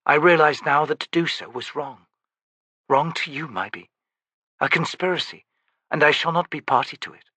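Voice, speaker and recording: A man voicing a stern, honourable female warrior who speaks low and measured. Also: expressive, sharp tone, breathy edge, dry recording, heavily acted. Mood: grave.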